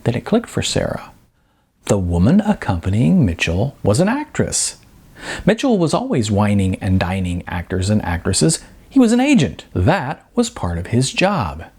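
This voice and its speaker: A male audiobook narrator reading a novel with ironic, expressive delivery. His voice is husky and his pitch swings freely. He leans into the wry asides of the story. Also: normal speed.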